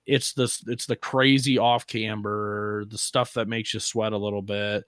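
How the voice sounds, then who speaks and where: A man's voice: on the low side, fairly bright tone, no room sound, clean studio sound. An American man co-hosting a casual off-roading podcast, talking in an informal, slangy way with occasional swearing. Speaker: podcast co-host. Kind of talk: casual conversation about off-roading.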